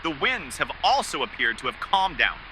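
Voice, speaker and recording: Bright, high-voiced actor as male weatherman. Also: sing-song, normal speed, a little hiss or hum, full character voice, poor audio. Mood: businesslike. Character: brisk male TV weather forecaster. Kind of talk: scripted weather forecast.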